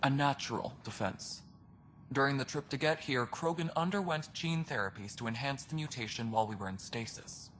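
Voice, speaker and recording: A man playing a gruff, world-weary alien station superintendent in a video game, speaking bluntly with dry sarcasm. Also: mid-range voice, fairly quick, fairly bright tone, a little hiss or hum, lightly acted. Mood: earnest, sober. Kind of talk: video game cutscene dialogue.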